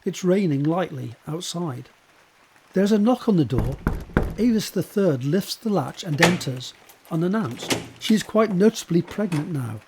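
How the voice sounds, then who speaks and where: A man's voice: high voice, lively intonation, normal speed, noisy background. A man reading scripted stage directions as the narrator of an audio drama.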